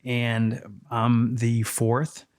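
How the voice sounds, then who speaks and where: A man in his fifties from Texas, Spanish his first language, talking in a measured, reflective way as a podcast guest. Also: mid-range voice, monotone, a little mellow. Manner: measured, reflective, with frequent fillers. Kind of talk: casual interview chat.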